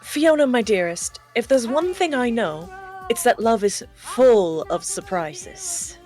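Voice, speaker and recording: A woman's voice: a little high, big pitch swings, darkish tone, faint music behind. A woman playing a smarmy vain prince. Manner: smooth, flirtatious, slow drawl.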